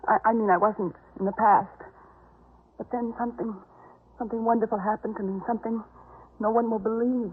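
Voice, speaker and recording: Casting: penitent outcast woman, anxious and pleading, breathy voice, halting delivery, old radio drama, thin narrow-band sound. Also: on the high side, steady tone. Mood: halting, earnest. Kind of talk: 1950s radio drama acting. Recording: muffled, narrow-band 1950s radio recording.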